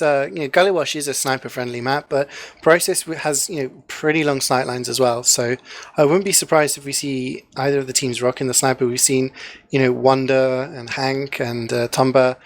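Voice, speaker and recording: A male esports caster talking over a live match at a middle pitch. His delivery is loose and conversational, packed with "you know" fillers and dry little jokes. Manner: chatty, heavy use of "you know" fillers, joking. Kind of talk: casual live game commentary.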